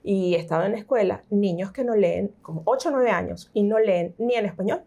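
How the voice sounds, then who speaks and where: A middle-aged woman with a Venezuelan accent, a teacher giving an interview and explaining reading and pronunciation with lively examples. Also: medium pitch, fairly quick, creaky, lo-fi sound. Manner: explanatory, animated, frequent fillers. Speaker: teacher and tutor, interview guest. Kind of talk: conversational interview.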